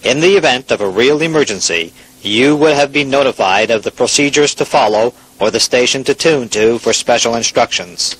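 A man playing a formal emergency broadcast announcer, reading a scripted public notice in a husky voice. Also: medium pitch, moderate pace, a bit bright, low-quality recording.

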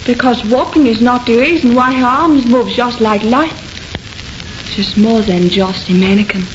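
An actress playing a jealous, superstitious French sweetheart with a French accent and dramatic broken English in old-time radio horror. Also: female voice, a little high, normal speed, lightly acted. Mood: fearful. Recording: noisy, dull vintage radio recording.

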